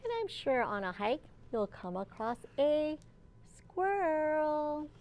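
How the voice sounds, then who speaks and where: A woman with a high voice reads a children's picture book as a storytime librarian. She takes her time, spacing phrases deliberately and turning to her young listeners with questions. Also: sing-song, a little mellow, slight background noise.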